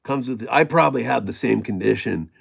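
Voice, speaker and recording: A middle-aged man with a gravelly voice, hosting a casual livestream and chatting with viewers in a rambling way full of fillers and restarts. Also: medium pitch, fairly quick. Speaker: livestream host reading chat.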